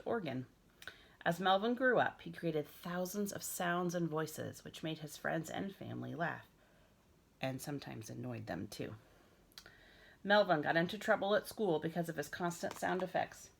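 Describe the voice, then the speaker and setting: A woman's voice: deep voice, gravelly. A woman reading a children's story aloud as a school librarian, expressively and with small asides.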